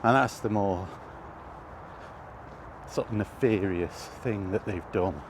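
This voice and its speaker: A British man giving rambling, casual commentary while walking outdoors, halting and thinking aloud as he goes. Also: on the low side. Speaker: video host. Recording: outdoor ambient noise throughout.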